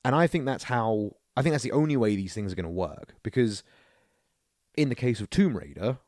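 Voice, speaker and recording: A man's voice: on the low side, lively intonation, a little fast, darkish tone, no room sound, clean studio sound. A man co-hosting a casual podcast, giving opinions in a rambling way with incredulous rhetorical questions. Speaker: podcast co-host.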